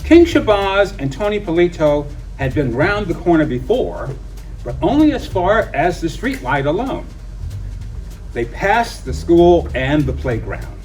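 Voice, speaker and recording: Male storybook reader, higher-pitched and animated, expressive children's read-aloud. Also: unhurried. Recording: distant mic, music behind.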